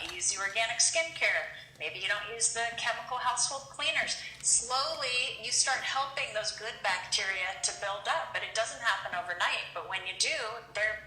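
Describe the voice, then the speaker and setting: A woman co-hosting a product show, giving an upbeat, persuasive sales pitch. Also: pushed and bright. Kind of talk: sales presentation. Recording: roomy echo.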